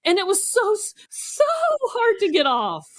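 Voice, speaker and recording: A woman co-hosting a podcast, telling casual comic stories in a chatty, animated and expressive way. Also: very high voice, unhurried. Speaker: podcast co-host. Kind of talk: casual comedic storytelling.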